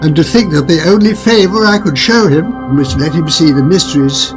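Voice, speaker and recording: An actor playing a suave, faintly sinister restaurant owner in a radio drama, with a smooth, purring, deliberately polite and husky delivery. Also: male voice, fairly high pitch, natural voice. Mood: smooth, secretive. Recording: music under the voice.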